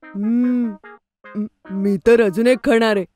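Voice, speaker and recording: An enthusiastic, high-voiced little boy from a children's cartoon, excitable and always hungry for treats. Music runs behind the voice. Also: slow pace. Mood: eager, happy. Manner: enthusiastic, wide-eyed. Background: background music.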